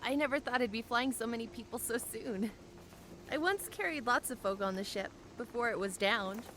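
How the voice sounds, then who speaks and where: A woman's voice: a little high, moderate pace, a bit bright, slight background noise, lightly acted. A woman playing an earnest, wistful young airship pilot in a fantasy audio drama. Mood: apologetic.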